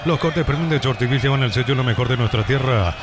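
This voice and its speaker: A man reading sponsor advertising spots in a brisk, promotional manner. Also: medium pitch, background noise. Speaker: sponsor announcer.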